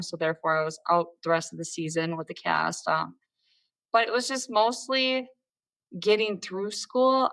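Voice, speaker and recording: A woman in her thirties with a slightly low voice is a guest on a podcast. She comes from northern Minnesota and talks candidly about herself, pausing often on filler words. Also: a bit bright, low-quality recording. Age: 30s. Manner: candid, with frequent "um"s. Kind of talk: conversational interview.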